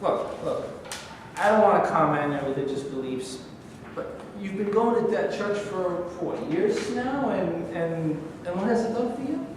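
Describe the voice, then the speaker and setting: A man reading as a pushy middle-aged uncle, coaxing and full of small hesitations, in a high voice. The sound is roomy, with a long echo from a bare rehearsal studio heard through a far camera. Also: expressive, moderate pace, background noise. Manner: persuasive, hesitant with fillers. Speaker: actor in a staged reading. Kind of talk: staged play reading.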